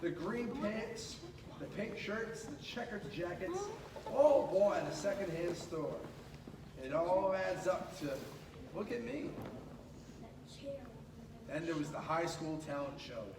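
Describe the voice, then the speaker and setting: High-voiced man reading aloud in an echoey room. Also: slow, long pauses. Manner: expressive, with casual asides to listeners. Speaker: storybook reader at a school. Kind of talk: animated children's picture book read-aloud. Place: indoors at a school. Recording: echoey room, distant mic, noisy background.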